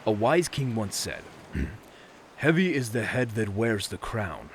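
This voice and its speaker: An actor playing a good-natured, easily flustered young Viking prince who tells tales theatrically with dramatic pauses. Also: male voice, lowish voice, sing-song, a little hiss or hum, exaggerated voice. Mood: solemn storytelling. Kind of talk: scripted fairy-tale storytelling. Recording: music behind the voice.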